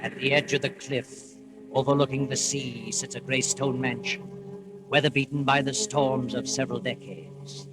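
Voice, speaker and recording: Old time radio host guy, ominous theatrical narration, slow n measured, music playing behind him. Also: medium pitch, flat delivery. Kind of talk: 1940s radio scripted narration. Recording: old 1940s radio recording. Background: dramatic music.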